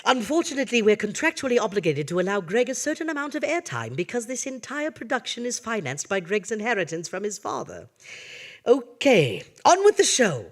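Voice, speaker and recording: An actor playing a campy theatrical horror host who introduces scary tales in a drawn-out, mock-sinister manner. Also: medium pitch, wildly swooping pitch. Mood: wry, exasperated. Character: campy theatrical horror-show host greeting "dreadful darlings".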